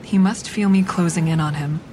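An actress playing a clever, manipulative woman who narrates a crime thriller audio drama in a measured, deliberate way. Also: fairly low pitch, mellow, slight background noise, close to an everyday voice. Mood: cool, calculating. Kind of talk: scripted first-person audio drama narration.

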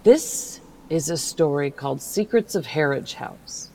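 A woman plays an indulgent aunt in an audio drama. Her voice is deep with a gravelly fry, and she tells a spooky bedtime story in a composed, measured way. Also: lively intonation, a little mellow, a little hiss or hum, exaggerated voice, poor audio. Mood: composed, mysterious.

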